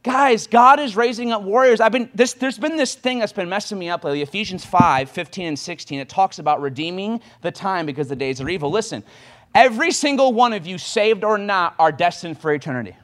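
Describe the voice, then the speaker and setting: A man, preaching an impassioned sermon in rapid bursts that swell suddenly louder. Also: high voice, lively intonation. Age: 20s.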